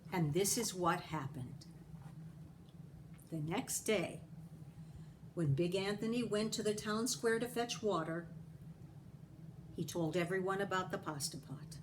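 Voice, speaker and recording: A woman reading a children's story aloud as a storyteller, deliberately and with care for young listeners. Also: on the low side, noisy background.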